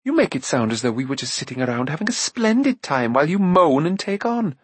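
A man voicing a sharp-tongued, scornful young girl. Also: a little high, very animated, brisk, breathy edge, no echo, voice pushed up.

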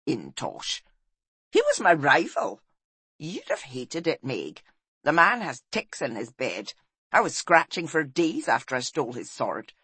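A woman's voice: sits low, wildly swooping pitch, unhurried, a bit bright, slightly rough, dry recording, exaggerated voice. A woman voicing a roguish, teasing clansman husband with a wry, cajoling manner, in an audiobook.

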